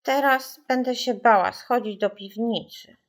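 A woman voicing a frightened, excitable young girl at a sleepover in a children's audiobook, anxious and exclaiming. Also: on the high side, measured pace, pitched above the performer's own voice. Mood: thrilled, scared.

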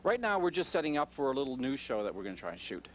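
A man in his fifties speaks into a handheld mic in a TV studio as an interviewee. He talks quickly, with careful wording and some hesitation, over faint noise. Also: medium pitch, big pitch swings, a bit bright. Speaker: interviewee, public access station representative. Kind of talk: live interview answers. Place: indoors in a TV studio. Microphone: handheld stick microphone.

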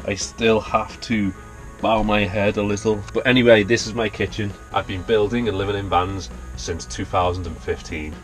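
Low-voiced Liverpool man touring his van. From Liverpool, England. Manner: chatty, practical, explains as he points things out. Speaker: van dweller giving a van tour. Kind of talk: casual vlog tour narration. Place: inside a small wooden camper van. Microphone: handheld action camera close up.

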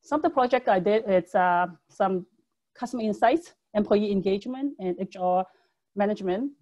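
A woman's voice: medium pitch, lively intonation, moderate pace, a little mellow, muffled recording. A woman whose first language is Cantonese, presenting a public speaking lesson over slides in a video call, with earnest, conversational phrasing and frequent fillers. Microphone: webcam microphone on video call.

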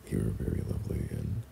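A young man doing intimate boyfriend roleplay ASMR in a breathy, often whispered voice, speaking tenderly and hesitantly. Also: a little hiss or hum. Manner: tender, hesitant, softly chuckling. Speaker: boyfriend ASMR audio performer.

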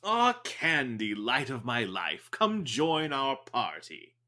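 A theatrical male sidekick, played by a man in a detective audio drama. He is florid, fussy and pompous, with a languid air. His pitch swings up and down with great animation. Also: wildly swooping pitch, slow, bright, forceful tone, exaggerated voice. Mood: playful.

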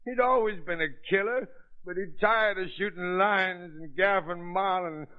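A man's voice: high-pitched, moderate pace, lightly acted. An actor playing an anxious, guilt-ridden man in a melodramatic 1930s radio drama. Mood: bitter, agitated. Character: guilt-ridden, frightened male boat builder, desperate and anguished. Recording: thin, tinny 1930s radio recording.

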